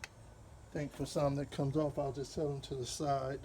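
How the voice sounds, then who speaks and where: A man from Wisconsin with a Midwestern accent, explaining a car repair step by step in a relaxed, rambling way while he works. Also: medium pitch, a little hiss or hum. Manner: relaxed, rambling, with fillers and pauses while working. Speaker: DIY car repair host. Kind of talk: hands-on repair walkthrough.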